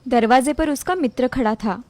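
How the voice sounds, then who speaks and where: High-pitched woman narrating a cartoon fable. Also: normal speed, a little mellow, dry recording. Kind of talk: scripted moral-story narration for cartoon.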